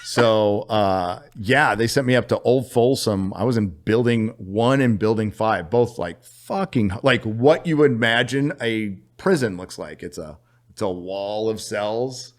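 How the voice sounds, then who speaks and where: A middle-aged man from California telling a story as a podcast guest, animated and profane, laughing as he goes. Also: on the low side, a little slow. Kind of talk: casual storytelling.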